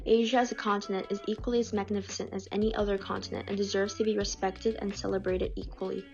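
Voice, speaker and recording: A woman reading out submitted personal stories in a calm, measured way. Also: medium pitch. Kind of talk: scripted reading. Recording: dull lo-fi audio with faint music.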